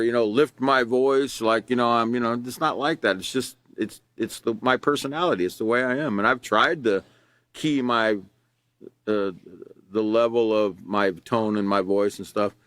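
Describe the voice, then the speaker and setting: A man teaching a character education class, reading from a workbook and explaining it in a loud, earnest way with many fillers and restarts. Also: medium pitch, no room sound. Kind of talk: reading and explaining a lesson live.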